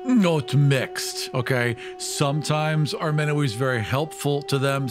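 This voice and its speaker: A man with a mid-pitched voice talks as a player in a tabletop game. He explains things casually, with a wry touch.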